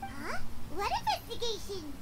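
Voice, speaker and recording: Very high, squeaky childlike fairy. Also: female voice, huge pitch swings, normal speed, very noisy, full character voice. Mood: curious. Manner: bubbly, exclamatory, speaks in third person. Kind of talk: video game voice acting.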